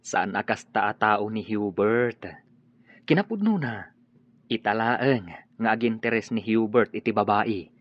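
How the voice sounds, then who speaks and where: An actor with an Ilocano accent playing an easygoing gentleman who confides in a radio drama. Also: lowish voice, lively intonation, a little slow, no room sound, lo-fi sound. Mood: matter-of-fact, confiding.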